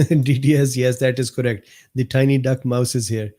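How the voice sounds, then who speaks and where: A man hosting a casual live medical talk stream, slightly hoarse, unhurried, laughing often and answering viewers by name. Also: medium pitch, little pitch movement, dark tone. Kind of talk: casual livestream chat reading viewer comments.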